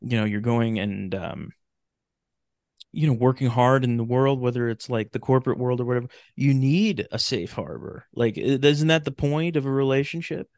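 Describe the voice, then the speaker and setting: Man with gravelly fry, halting and rambling. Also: mid-range voice, darkish tone, no room sound, studio quality. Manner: halting, rambling, repeats and restarts phrases. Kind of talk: casual podcast conversation.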